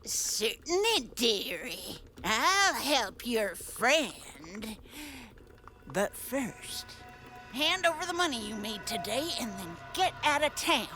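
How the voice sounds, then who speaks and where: Gloating evil djinn witch, high voice, drags words out slow, music underneath. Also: sing-song, bright, forceful tone, full character voice. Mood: taunting. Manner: taunting, theatrical, drawn-out menace. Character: scheming, gloating witch-like female djinn baker with sorcery. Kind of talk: villain monologue in audio adventure.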